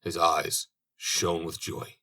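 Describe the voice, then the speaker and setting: A man reading a storybook as an audiobook narrator, with expressive delivery. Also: deep voice, slow. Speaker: audiobook reader.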